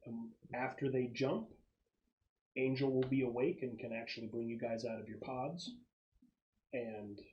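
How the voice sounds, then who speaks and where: A man's voice: mid-range voice, fairly even intonation, measured pace, long pauses, lo-fi sound. Male game master, mid-range voice, explains scenario details conversationally at a gaming table. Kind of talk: narrating a tabletop roleplaying game.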